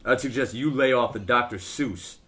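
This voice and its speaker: An indignant, cutting male student editor in a satirical radio play, played by an actor. He speaks at an average pitch with formal, haughty disdain. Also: normal speed, sharp tone, close to an everyday voice. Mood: disdainful.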